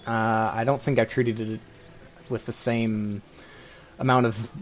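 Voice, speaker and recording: A man's voice: medium pitch, flat delivery, unhurried, a little hiss or hum. A man co-hosting a podcast in casual, opinionated chat, speaking in a rambling, hesitant way full of filler "like"s. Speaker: podcast co-host.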